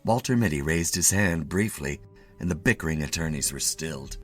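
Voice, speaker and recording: A man giving an expressive, theatrical reading of a literary short story as its narrator. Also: very deep voice, moderate pace, faint music behind.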